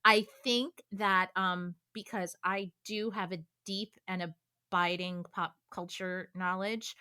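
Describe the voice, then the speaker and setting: A woman's voice: mid-range voice, bright, forceful tone, clean tone. A woman chatting casually in an interview with fellow cast members.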